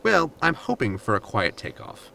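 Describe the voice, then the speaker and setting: A man's voice: mid-range voice, wildly swooping pitch, moderate pace, creaky, pushed and bright, slight background noise, full character voice. A man playing an earnest, pedantic android engineer in a sci-fi sitcom audio drama, formal and lecturing. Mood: matter-of-fact.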